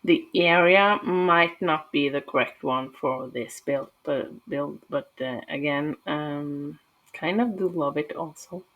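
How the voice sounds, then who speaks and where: A woman's voice: low voice, slow, lo-fi sound. A woman from Norway with a Norwegian accent, talking casually and hesitantly through a game build with many fillers. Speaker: gaming channel host.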